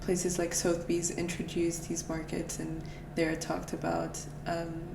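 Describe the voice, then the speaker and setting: A woman's voice: lowish voice, completely flat delivery, measured pace, creaky. A woman from Portugal hosting a podcast interview, speaking reflectively and thinking aloud. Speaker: podcast host. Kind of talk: casual interview conversation. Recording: roomy, distant, noisy recording.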